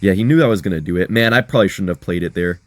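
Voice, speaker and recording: A young man with a deep, hoarse voice commentates his own gameplay in a loose, chatty way. He talks straight to his viewers and gets excited at good plays. The sound is close and dry, with no room around it. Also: very fast, no echo. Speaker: gaming content creator.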